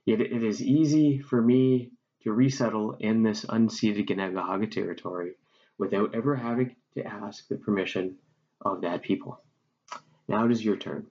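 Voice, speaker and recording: A man, narrating a scripted educational recording in a measured, reflective way. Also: fairly low pitch, a little mellow. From English-speaking Canada. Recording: dull lo-fi recording.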